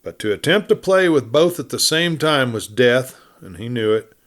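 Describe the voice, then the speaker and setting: A man's voice: mid-range voice, sing-song, normal speed. Man narrating a western tale dramatically. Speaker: storyteller, audiobook reader.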